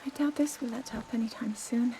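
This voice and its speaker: An earnest, thoughtful young princess with a scholar's mind, voiced by a woman. Her voice is high yet mellow and measured. A faint hiss runs under the recording. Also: steady tone, lightly acted. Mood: pensive. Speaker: game character. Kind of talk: game cutscene dialogue.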